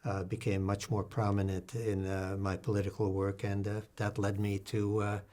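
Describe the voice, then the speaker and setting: An older man speaking as an interview guest, recounting his experience in a halting, measured way with formal wording. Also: on the low side, monotone, mellow. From United States, born in Sweden to Latvian refugees. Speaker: interview guest, political activist and lecturer. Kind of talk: reflective conversational account in a public discussion.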